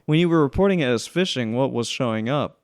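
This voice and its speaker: A man chatting casually as a podcast co-host, grumbling in a halting, informal way. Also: medium pitch, lively intonation, clean tone, no echo, studio quality. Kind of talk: casual chat.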